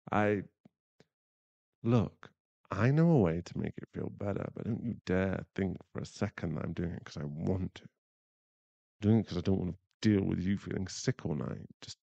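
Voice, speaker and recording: A young man playing a teasing, bashful bad-boy werewolf boyfriend in an intimate ASMR roleplay, halting and murmured. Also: low-pitched, creaky, dark tone, no room sound, dull audio, full character voice. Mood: gruff, caring. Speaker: voice actor in an audio roleplay.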